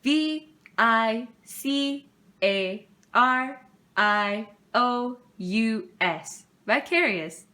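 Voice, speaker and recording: A woman's voice: fairly high pitch, lively intonation, drawn-out words, pushed and bright, exaggerated voice. A woman voicing a sincere young girl bear cub from a children's storybook, sweet and a little hesitant. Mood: careful.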